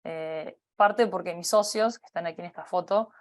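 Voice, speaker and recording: A woman from Uruguay with a Uruguayan Spanish accent talks through her working life in a conference talk. Her voice sits a little low, and she often stops on fillers and restarts her sentences. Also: normal speed. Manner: hesitant, many fillers and restarts. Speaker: company partner giving a talk. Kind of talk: personal career talk.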